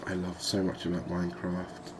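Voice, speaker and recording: Deep voiced guy doing chill asmr game commentary, pretty flat, thinking out loud, bit of background noise. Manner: calm, unhurried, musing aloud. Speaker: gaming video host. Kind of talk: soft-spoken ASMR gameplay commentary.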